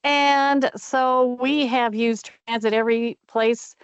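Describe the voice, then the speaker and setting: A woman's voice: fairly high pitch, fairly even intonation, slow pace, clear, resonant tone, no room sound, highs cut off. An older retired woman chatting through introductions as committee chair on a call. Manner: chatty, friendly, with fillers. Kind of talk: meeting introductions.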